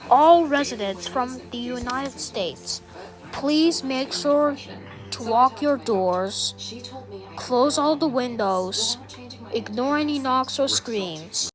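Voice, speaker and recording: A very high, slow female voice delivers a formal emergency broadcast as a grave president, acted by a woman in a homemade story. The phrasing is that of an official announcer reading scripted warnings, and loud music plays behind it. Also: lively intonation. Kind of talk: scripted emergency alert narration.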